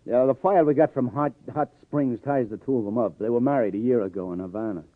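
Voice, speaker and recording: A man's voice: medium pitch, quick pace, no echo, lightly acted. An actor playing a wry, hard-boiled New police detective in a 1950s radio crime drama, with sardonic, clipped tough-guy delivery. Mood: weary, wry. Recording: tinny old radio broadcast, faint music.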